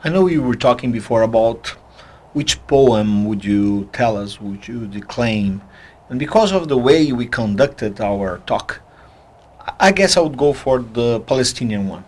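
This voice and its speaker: Mid-pitched man hosting an intimate interview. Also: expressive, measured pace, darkish tone. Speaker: interview host.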